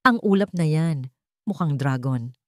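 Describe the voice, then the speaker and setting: A woman voicing a gentle young nanny in a story, speaking softly and playfully as if to a child. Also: low-pitched, lively intonation, a little slow, dry recording, clean studio sound. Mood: gently playful. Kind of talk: storybook narration dialogue.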